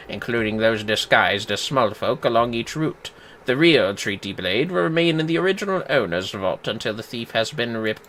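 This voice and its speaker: Man playing a low, disdainful police inspector. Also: a bit bright, slight background noise, rough recording. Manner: clipped, condescending, deliberate. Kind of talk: video game dialogue read aloud.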